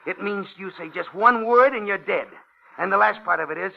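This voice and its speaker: An actor playing a sadistic, menacing gangster who taunts and needles in a 1950s radio drama. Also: male voice, high-pitched, moderate pace, creaky. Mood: cold menace. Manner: mocking, needling, repeats himself tauntingly. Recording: dull, noisy old radio recording.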